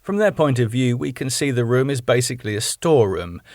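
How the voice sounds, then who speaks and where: A man's voice: medium pitch, animated, fairly quick, a little mellow, dry recording, clean studio sound. A man from the United Kingdom reading the stage directions of his own script in a plain, matter-of-fact way.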